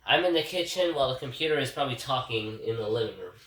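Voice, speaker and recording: A man's voice: medium pitch, lively intonation, fast, fairly bright tone. A congested man vlogging a casual, rambling reflection from his kitchen, repeatedly addressing his viewers as guys. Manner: rambling, keeps calling out to "guys". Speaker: vlogger giving a daily reflection. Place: home kitchen. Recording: roomy, distant kitchen recording.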